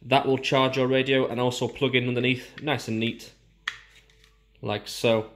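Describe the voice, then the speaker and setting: A man's voice: mid-range voice, little pitch movement, normal speed, fairly bright tone, slight background noise. Male, British accent, casual unboxing presenter, informal and explanatory. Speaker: product reviewer.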